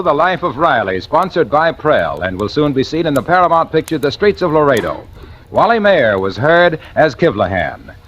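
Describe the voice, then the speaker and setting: A man's voice: fairly high pitch, slight background noise. A man announcing and selling in scripted 1940s radio style, folksy and emphatic. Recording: dull vintage radio, music behind.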